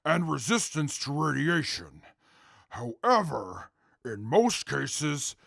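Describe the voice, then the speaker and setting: Man voicing a super mutant defendant, gravelly rasp, slow deliberate speech, formal and precise. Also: on the high side, fairly bright tone, no room sound, studio quality, full character voice. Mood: earnest. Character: calm, articulate male super mutant defendant. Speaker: defendant testifying in court. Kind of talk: audio drama acting.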